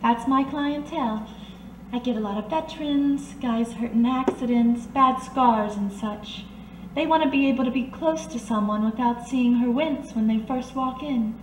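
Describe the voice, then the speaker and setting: A high-pitched, knowing woman of the 1930s underworld, played by an actress, coaxes and soothes with unflappable poise. It is radio detective drama, heard in a roomy space a little away from the mic. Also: normal speed, natural voice. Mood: knowing, calm. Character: blind, worldly, soothing 1930s working woman who knows underworld secrets. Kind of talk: old-time radio detective drama acting. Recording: roomy, distant amateur recording, faint noise.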